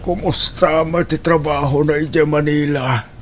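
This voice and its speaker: A man playing an elderly, ailing father in an audio drama, questioning slowly and softly in an Ilocano accent. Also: fairly high pitch, steady tone, slight background noise, close to an everyday voice. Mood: worried, probing. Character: elderly ailing father fretting over his children.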